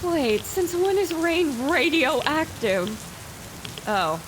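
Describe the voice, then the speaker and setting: A woman's voice: high voice, animated, normal speed, noisy background. A woman playing an anxious, naive young pony adventurer, worried and questioning, in a fantasy audiobook. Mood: puzzled. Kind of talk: acted audiobook dialogue.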